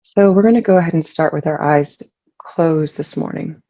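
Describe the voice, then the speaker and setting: Gravelly, mellow meditation guide with vocal fry. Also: mid-range voice, lo-fi sound. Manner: soothing, unhurried with long pauses.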